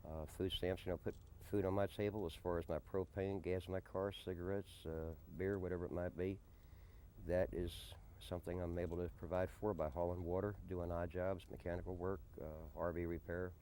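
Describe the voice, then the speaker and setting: Deep voiced guy in an interview, deliberate talker, old amateur tape with some noise. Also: warm tone. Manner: deliberate, repeats questions back before answering. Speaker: interviewee, desert camp resident and odd-job handyman. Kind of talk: documentary interview, conversational. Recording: noisy early-90s amateur documentary tape.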